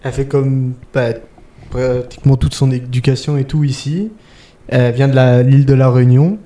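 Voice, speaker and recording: A man's voice: medium pitch, steady tone, normal speed, mellow. A young man with a French accent, chatting casually as a podcast guest, full of fillers like "tu sais". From France. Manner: informal, many fillers like "tu sais". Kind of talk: casual chat. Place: living in Quebec.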